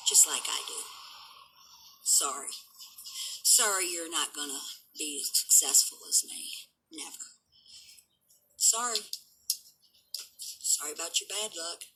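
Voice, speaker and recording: Rough-voiced woman in her seventies, sharp. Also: sits low, a little hiss or hum, rough recording. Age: 70s. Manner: defiant, boastful, taunting critics. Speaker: vlogger and dog breeder. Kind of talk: unscripted rant to camera.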